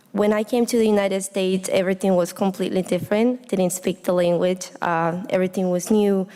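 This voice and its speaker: Young female student speaker, mid-pitched, earnest personal talk, recorded in a reverberant hall. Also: darkish tone, clean tone. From Honduras. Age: young adult. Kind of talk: personal reflective speech to an audience. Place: indoor hall, speaking to an audience. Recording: echoey hall with long reverb.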